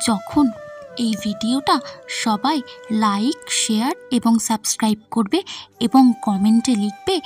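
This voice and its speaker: A woman with a fairly high voice narrates a scripted cartoon story for children. Soft music plays behind her. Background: background music.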